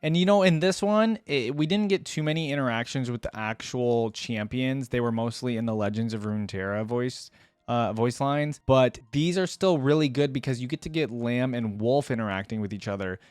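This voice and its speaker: A man hosting a reaction video, giving casual, musing commentary in a halting way. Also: medium pitch, a little mellow, smooth, no echo. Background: faint music.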